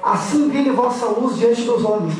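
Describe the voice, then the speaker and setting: A hoarse man with a Brazilian accent who has a cold, preaching to young people at church in a casual, slangy way. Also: very high voice, animated, unhurried, darkish tone. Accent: Brazilian Portuguese. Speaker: youth preacher. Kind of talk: youth sermon. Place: church hall. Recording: echoey church from far off.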